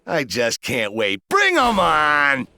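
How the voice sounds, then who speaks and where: An actor playing a cocky, defiant army major in a sci-fi game, sarcastic and combative. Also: male voice, on the high side, huge pitch swings, takes it slowly, pushed and bright, slight background noise, full character voice. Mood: scornful, defiant. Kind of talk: video game acting.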